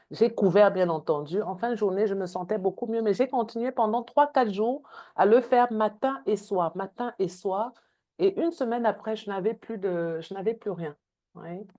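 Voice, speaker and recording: A woman's voice: mid-range voice, a little mellow. A woman giving a product training on a video call, with frequent hesitations and repeated words. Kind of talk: online training talk.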